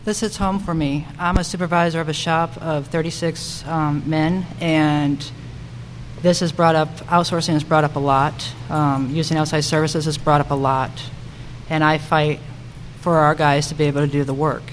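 A woman's voice: low voice, flat delivery, moderate pace, low-quality recording. A woman giving emphatic candidate remarks at a public forum, often repeating phrases for weight. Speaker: city council member and candidate. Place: public forum room at a school. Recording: faint noise and room ambience.

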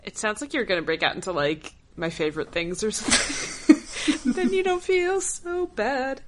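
A woman co-hosting a casual podcast, chatty and sarcastic and laughing often, her voice hoarse and lower than usual. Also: animated, moderate pace, very noisy. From Philadelphia area. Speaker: podcast co-host. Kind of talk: casual chat.

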